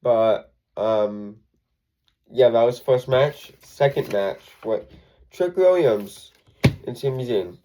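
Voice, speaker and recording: A man's voice: medium pitch, slow, long pauses, dark tone, rough recording. A young man with a congested voice, rambling through a casual recap full of ums and self-corrections. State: congested from a cold. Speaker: wrestling show reviewer.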